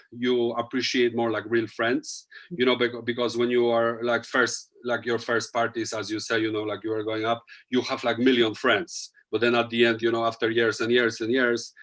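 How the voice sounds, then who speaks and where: A man's voice: mid-range voice, fairly bright tone. A man with a Polish accent, a DJ guest, speaking loosely with many fillers while thinking aloud. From Poland. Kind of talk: casual interview chat.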